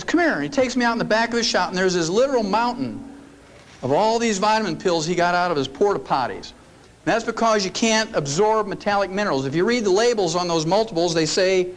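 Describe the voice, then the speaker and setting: An older man with a fairly high voice lectures a roomful of people in a folksy, storytelling way. He leans on jokes and homespun asides as he makes his points. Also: lively intonation, brisk, a little hiss or hum, poor audio. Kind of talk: folksy seminar talk. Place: meeting room before an audience.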